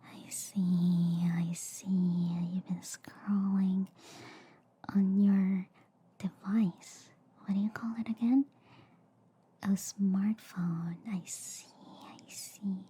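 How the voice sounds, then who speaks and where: A woman voicing a friendly, slightly shady alien girl doctor in a whispered ASMR roleplay, soothing and hesitant with soft repeated reassurances. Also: medium pitch, little pitch movement, drawn-out words, husky, full character voice. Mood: gently explaining. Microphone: close ASMR microphone. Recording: faint background noise, close-up ASMR.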